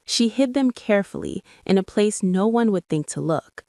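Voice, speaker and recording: A woman's voice: medium pitch, lively intonation, normal speed, vocal fry, mellow, no room sound, studio quality. A woman narrator reading a scripted story in a dramatic storytelling style.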